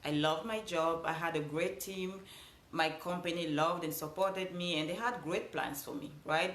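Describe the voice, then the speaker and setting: A woman's voice: lowish voice, fairly bright tone, roomy sound. A woman with a Cameroonian accent giving an earnest motivational talk, repeating phrases for emphasis. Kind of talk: motivational speech.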